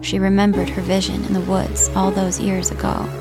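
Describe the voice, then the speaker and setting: A woman narrator reading a scripted drama in a measured, suspenseful way, in short phrases. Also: mid-range voice, monotone, vocal fry. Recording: loud music under the voice.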